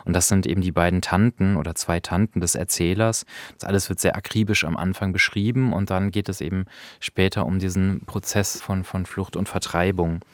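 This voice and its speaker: A man's voice: sits low, fairly even intonation, a little fast, dry recording. A man co-hosting a podcast, reviewing thoughtfully and searching for precise wording in conversation. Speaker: podcast co-host.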